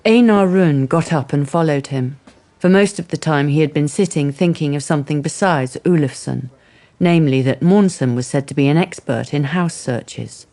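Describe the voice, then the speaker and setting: A man's voice: a little high, moderate pace, mellow, a little hiss or hum. A man narrating a radio drama in a scripted storytelling style.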